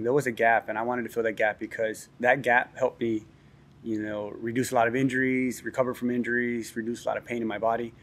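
A man in his thirties from Texas and Chicago, explaining his training gym in a sit-down interview in an indoor gym, earnest and full of "uh" restarts. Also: mid-range voice. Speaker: gym owner and ninja athlete.

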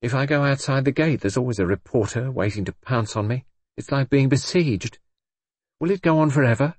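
A man's voice: medium pitch, sing-song, normal speed, darkish tone, breathy edge, dry recording, voice pushed up. A man voicing a nervous, hysterical young wife in an audiobook, pleading and complaining. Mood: fretful. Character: frightened, hysterical young wife protesting her innocence. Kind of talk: audiobook character voice.

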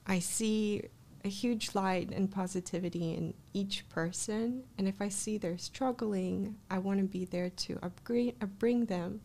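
A woman with a Russian accent and a noticeably creaky voice at a middling pitch. She talks casually about herself as a podcast guest, close to a studio mic. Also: steady tone, a little slow, mellow. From Russia, born in Kazakhstan. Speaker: life coach, podcast guest. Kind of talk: casual interview, telling personal story. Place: small podcast studio, indoors. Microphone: close studio microphone, headphones.

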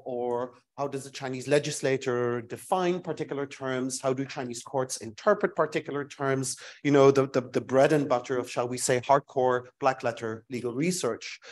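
A man with a loud, carrying voice, giving a wry, conversational conference talk from a lectern in a lecture hall. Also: on the high side. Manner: wry, self-deprecating, conversational with many fillers. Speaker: invited academic speaker. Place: wood-panelled university lecture hall. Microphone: lectern microphone.

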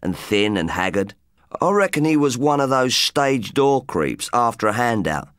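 A man's voice: mid-range voice, very animated, normal speed, a bit bright, smooth, no room sound, clean studio sound. A man playing a boastful English rock guitarist, telling his tale in chatty, matey slang, as in an audiobook. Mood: cocky, reminiscing. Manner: cocky, matey, colloquial. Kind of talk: first-person audiobook storytelling in colloquial slang.

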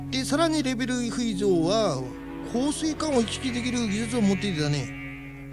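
A man playing a folksy, fussy alien official in a radio drama, explaining things in a colloquial way. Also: high-pitched.

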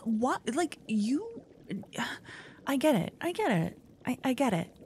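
A doting yet vengeful young witch girlfriend, acted by a woman in an ASMR roleplay. She talks slowly and close to the mic, breathy and often sinking into a whisper, with a dramatic edge of jealousy. Noise sits behind the voice. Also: high-pitched, very animated, darkish tone, full character voice. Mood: venting, jealous. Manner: dramatic, swinging from cooing to venting. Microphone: close ASMR microphone.

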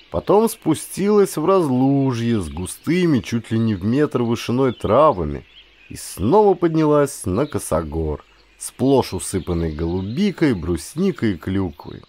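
A man reading scripted adventure narration in an expressive, dramatized storytelling manner. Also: medium pitch. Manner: expressive, acts out dialogue. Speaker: audiobook narrator, actor.

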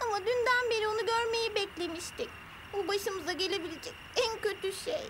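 Bir kadın, çizgi filmde heyecanlı, sabırsız ve sevecen küçük bir kuş çocuğu, ısrarlı bir söyleyişle seslendiriyor. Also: female voice, extremely high pitch, brisk, background noise. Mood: dismayed. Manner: urgent, repetitive, exclamatory. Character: excitable, eager little yellow bird child, affectionate and impatient. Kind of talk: animated cartoon acting. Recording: loud music under the voice.

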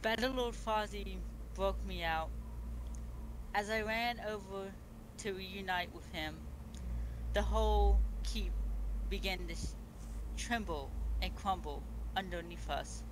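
A man playing a solemn beastman empress in online game role-play, making a formal, halting speech. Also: sits high. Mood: grave storytelling. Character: Empress Dragon, solemn ruler of fantasy beastmen. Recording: noisy amateur game voice chat.

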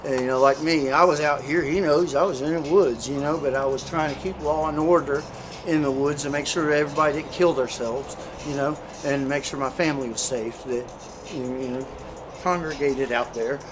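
A man with a slightly high voice talks earnestly and a little preachily, telling his story and leaning on "you know" again and again. Traffic and street noise run clearly under the recording. It sounds like a street interview recorded outdoors. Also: fairly even intonation, moderate pace.

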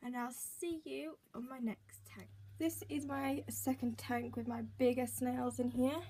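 A young woman with a slightly croaky voice, chatting casually as she narrates each step of a pet-care routine while filming on a handheld phone at home. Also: sits high, fairly even intonation, measured pace, dark tone. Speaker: pet keeper vlogger. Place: indoors at home by snail tanks. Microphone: handheld phone camera. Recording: noisy home phone recording.

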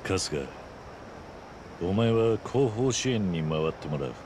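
A very deep-voiced, middle-aged gangland veteran in a video game. He gives orders tersely and calmly, with a gruff, stoic authority. Loud scene ambience and vehicle noise sit behind him. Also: takes it slowly. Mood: grave, composed. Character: stoic, gruff middle-aged male former yakuza, calm leader. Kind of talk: restrained Japanese game cutscene acting.

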